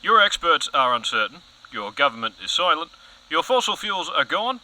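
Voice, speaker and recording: A man's voice: a little high, big pitch swings, moderate pace, sharp tone, full character voice, low-quality recording. A man playing a pompous, overzealous TV news anchor in an audio comedy, earnest and dramatic. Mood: grave, insistent.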